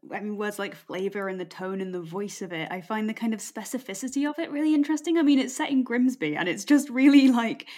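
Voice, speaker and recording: Tentative woman interviewer, mid-pitched voice. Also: fast, few pauses, darkish tone. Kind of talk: casual conversational interview.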